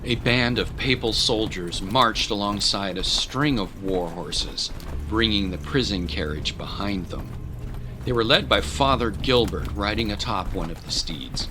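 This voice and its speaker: A man's voice: fairly low pitch, a little slow, noisy background. A man giving grave, literary, scripted narration for a dramatic story. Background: music bed.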